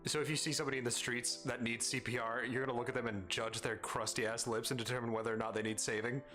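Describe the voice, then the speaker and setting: A man's voice: mid-range voice, flat delivery, fast, pushed and bright, soft background music. A man with an Arizona accent hosting a live stream, chatting casually with viewers in a playful, teasing, rambling way.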